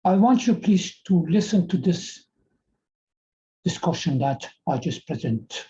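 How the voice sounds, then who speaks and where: An older man from Iran, a Farsi speaker with a Persian accent, addressing viewers in an earnest, halting way over a video call. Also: a little high, expressive, mellow. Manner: slow, halting and earnest, with frequent pauses and repeated words. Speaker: TV presenter. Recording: slightly dull video call audio.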